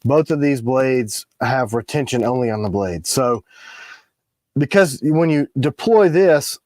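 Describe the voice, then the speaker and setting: A man with a raspy voice, tired in the morning, co-hosting a casual product livestream and explaining the details of the items he shows. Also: medium pitch, a little mellow, no room sound, clean studio sound. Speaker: co-host of a knife company livestream. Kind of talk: casual live chat about products.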